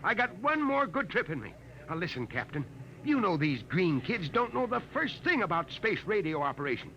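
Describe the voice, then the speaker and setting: A man's voice: high voice, sing-song, normal speed, sharp tone, highs cut off, a little hiss or hum, full character voice. An actor playing an eager veteran space radioman, wheedling in a folksy way in a 1950s radio play on an old recording. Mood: pleading, chummy. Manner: folksy, pleading.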